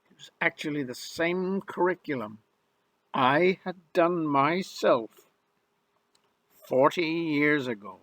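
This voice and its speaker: A man's voice: on the high side, takes it slowly, a bit bright, no room sound, rough recording. An older man from Ireland telling his own life story in the first person, in a wry, rueful and emphatic way. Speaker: memoir narrator.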